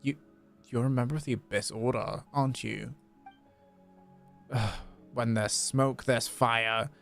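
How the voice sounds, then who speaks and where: Game sidekick, read by a man: tiny excitable female, questioning, exclamatory, music underneath. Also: medium pitch, a little slow, creaky. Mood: accusing. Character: small, excitable, inquisitive female floating companion.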